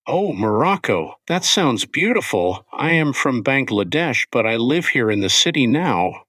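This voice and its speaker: A man co-hosting an English-learning podcast, speaking in clear, simple scripted sentences. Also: medium pitch, sing-song, measured pace, a bit bright, dry recording, clean studio sound. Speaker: podcast co-host.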